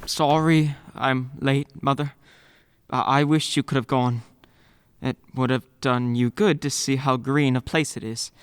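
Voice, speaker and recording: A man playing a gentle, heartbroken father, halting and mournful, in an old-time radio drama. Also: mid-range voice, fairly even intonation, clean tone, close to an everyday voice. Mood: subdued sorrow.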